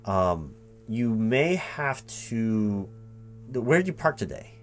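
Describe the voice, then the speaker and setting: A man playing a flustered, evasive recruiting manager in a one-sided ASMR job interview roleplay, halting, repeating and correcting himself as he rambles. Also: fairly low pitch, big pitch swings, soft background music. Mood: flustered, reassuring.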